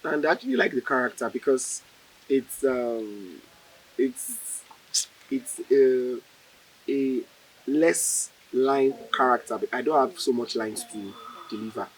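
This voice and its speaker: A young man with a Nigerian accent giving an informal interview as a student actor, in a halting way. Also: medium pitch, lively intonation, slight background noise, rough recording. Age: young adult.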